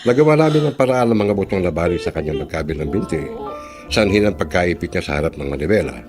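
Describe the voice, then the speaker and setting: An actor playing a doctor who formally delivers bad news in a radio drama. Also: male voice, sits low, expressive, fast talker, over music. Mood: somber. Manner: formal, somber. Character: grave, formal hospital doctor.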